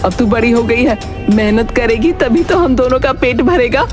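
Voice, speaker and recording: Lady doing a bossy bitter old granny from a cartoon village tale, pitched up, music behind. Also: fairly even intonation, normal speed, lightly acted. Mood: stern. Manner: sharp, belittling, commanding. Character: scolding, selfish, bitter old village grandmother. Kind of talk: cartoon moral story acting.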